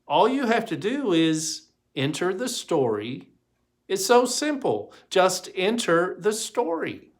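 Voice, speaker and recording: A man's voice: high-pitched, sing-song, slow pace, pitched above the performer's own voice, exaggerated voice. A man voicing a mysterious, breezily insistent storyteller in a storybook reading, with a coaxing manner.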